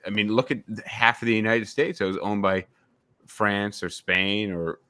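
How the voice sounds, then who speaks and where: Deep-voiced man rambling through a podcast. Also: fairly even intonation, normal speed, sharp tone. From suburbs of Albany, New York. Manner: rambling, many restarts and "you know". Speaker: podcast host. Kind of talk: casual discussion podcast.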